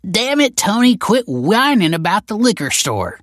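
A man's voice: sits high, sing-song, moderate pace, fairly bright tone, no echo, studio quality, pitched above the performer's own voice. A man voicing a brash, belligerent, heavyset foster mother with snappish, scornful, slangy speech.

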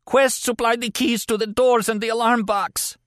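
Audiobook role: frantic injured foreigner, voice pushed very high, agonized and begging. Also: male voice, steady tone, moderate pace, fairly bright tone, dry recording, studio quality. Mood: agonized, pleading. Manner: strained, agonized pleading. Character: frantic wounded foreign man, pleading in agony.

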